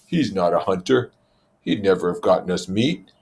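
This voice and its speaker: A man as a loud, contemptuous schoolboy leader. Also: deep voice, expressive, normal speed. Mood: resentful. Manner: loud, commanding, accusing. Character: Jack, contemptuous, aggressive schoolboy hunt leader. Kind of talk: storybook character voice.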